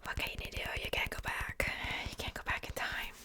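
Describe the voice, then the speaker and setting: A woman speaking in a breathy, unvoiced whisper in a casual ASMR show-and-tell style, hushed and informal. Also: brisk. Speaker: ASMR video creator.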